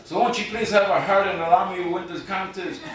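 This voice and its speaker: A middle-aged man reading his poetry live, with informal asides and a wry, deadpan storytelling manner. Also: sits high, quick pace, a crowd in the background. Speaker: poet. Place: live event in a reverberant room, audience present. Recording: very echoey hall, distant, noisy.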